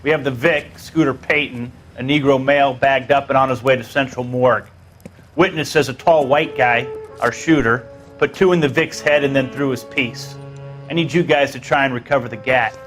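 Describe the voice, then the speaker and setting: A man's voice: mid-range voice, steady tone, moderate pace, fairly bright tone, soft background music, close to an everyday voice. A man playing a cynical homicide detective in a period game, curt, slangy and dismissive. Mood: brusque, dismissive.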